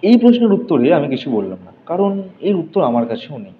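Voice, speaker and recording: A man's voice: on the high side, big pitch swings, brisk. Male mystery story narrator, slightly dull recording. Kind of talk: dramatic scripted audio story narration. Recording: dull recording with faint noise.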